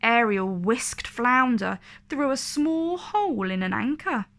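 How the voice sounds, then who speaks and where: Slow-paced woman reading a children's story. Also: on the high side, animated, a bit bright. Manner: deliberate, dramatic storytelling. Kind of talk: expressive read-aloud narration for kids.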